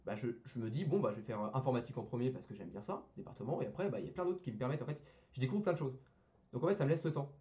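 A man's voice: mid-range voice, lively intonation, very fast, mellow, muffled recording. A young man, an engineering student, chatting casually on a podcast, full of fillers, restarts and slang. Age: young adult.

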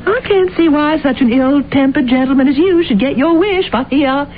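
An actress playing a middle-aged housekeeper, bossy but caring, scolding and fussing in an old-time radio drama. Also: female voice, sits high, normal speed, lightly acted. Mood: tart, firm. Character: bossy, caring, plain-spoken housekeeper to a rich man. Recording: thin phone-like old radio, faint noise.

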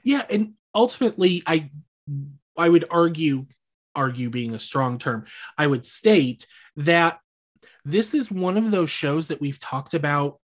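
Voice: medium pitch, expressive, a little slow. Rambling, hedging podcast co-host. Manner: hedging, rambling, qualifies every claim. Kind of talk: casual chat about musical theater.

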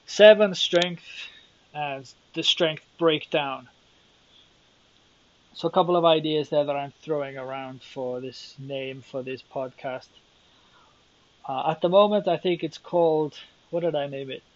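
A man's voice: a little high, unhurried, long pauses, dry recording. Rambling Welsh man on a lo-fi podcast. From Wales. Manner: rambling, self-deprecating, thinking aloud. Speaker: podcast host. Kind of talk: solo podcast monologue.

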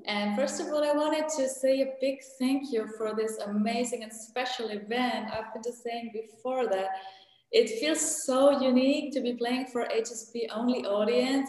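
High-voiced German woman in heavy reverb. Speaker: indie folk singer-songwriter, guest performer. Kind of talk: casual introduction to an acoustic set. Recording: very echoey, long reverb, music.

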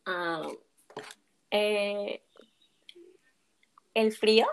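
A woman's voice: mid-range voice, fairly even intonation, very slow, clean tone, rough recording. A woman in her early twenties answering interview questions in a friendly, hesitant way, often repeating herself. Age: 20s. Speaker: guest.

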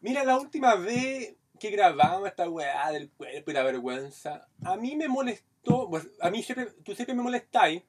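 A man's voice: high-pitched, sing-song, normal speed, few pauses, breathy edge. A man from Brazil with a Brazilian accent, co-hosting a podcast in casual chat with a clipped, choppy way of talking. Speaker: podcast co-host, comedian.

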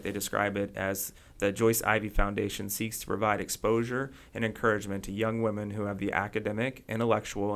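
A middle-aged man from hosting a casual podcast interview, talking haltingly with many fillers and restarts. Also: lowish voice, fairly bright tone. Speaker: podcast host.

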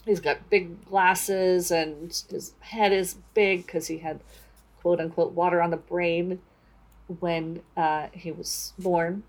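A woman co-hosting a podcast, talking off the cuff and halting as she searches for words. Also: fairly low pitch, steady tone. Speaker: podcast co-host. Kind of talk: casual, unscripted book summary.

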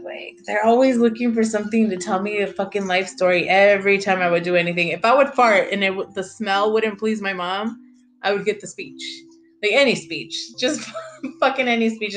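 Excitable woman hosting a chatty podcast. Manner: excited, fast and high-pitched, stretching words, swearing often; drops Spanish phrases into her talk. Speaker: podcast host. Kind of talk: casual podcast monologue.

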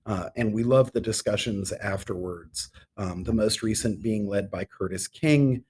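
A man's voice: fairly low pitch, measured pace, darkish tone, slight background noise. A middle-aged man speaking as a theatre director being interviewed on a podcast, articulate and enthusiastic, with ornate turns of phrase.

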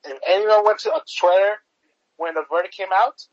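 High-voiced man, halting podcast chatter. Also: no echo, muffled recording. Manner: halting, stacks up fillers and restarts. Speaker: podcast co-host. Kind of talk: casual political chat.

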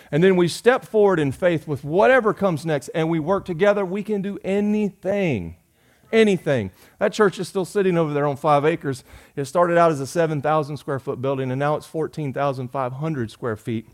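A man, preaching a sermon in a folksy, joking way, rising loud and dropping soft. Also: fairly high pitch, moderate pace. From Georgia. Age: 40s. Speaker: pastor.

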